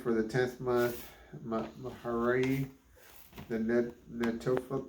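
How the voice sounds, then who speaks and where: A man's voice: mid-range voice, darkish tone. A man reading the Bible aloud as a father, stumbling over difficult names and retrying them. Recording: roomy echo, faint background noise.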